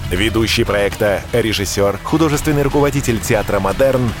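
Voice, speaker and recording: A man's voice: lowish voice, a little fast. A man reading scripted radio station promos as an announcer in a produced spot. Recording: produced promo over loud music. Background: loud music.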